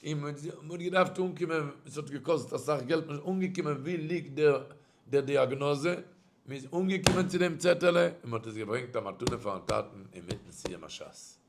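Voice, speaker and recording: A man's voice: a little high, fairly quick, slight background noise. A middle-aged man telling a story in a sermon, speaking with a Yiddish accent and often swinging his voice up and down. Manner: animated storyteller, voice rising and dropping sharply. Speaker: rabbi giving a religious talk.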